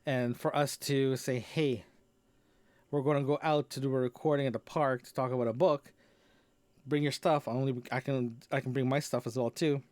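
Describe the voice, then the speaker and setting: A man hosting his own solo audio log podcast, talking casually with frequent restarts and filler words as he thinks out loud. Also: mid-range voice, fairly even intonation, moderate pace, no echo. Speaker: podcast host. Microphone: dynamic microphone into portable recorder.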